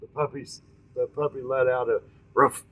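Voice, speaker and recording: A man hosting an informal storytelling session, speaking in a folksy, halting way, often repeating and correcting his words and addressing listeners directly. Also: mid-range voice, steady tone, a bit bright. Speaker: storytelling host.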